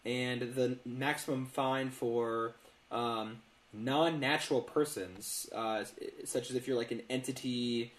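A man recorded in a roomy, echoey space talks as a podcast co-host. His pitch is middling, and he explains casually, stammering over repeats and then landing hard on his points. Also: fairly even intonation, slow.

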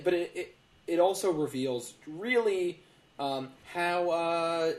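A man with a mid-range voice hosts a casual news podcast from an echoey room. He talks in a wry, meandering way, often halting as he works through a thought. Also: medium pitch, a little mellow. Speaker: podcast host.

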